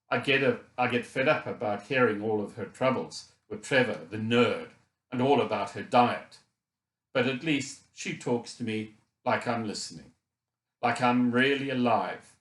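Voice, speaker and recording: A man's voice: medium pitch, measured pace, fairly bright tone, roomy sound, close to an everyday voice. A man voicing an injured, frustrated boy who thinks aloud in a chatty, candid, colloquial way, as first-person audiobook narration. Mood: wistful. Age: child.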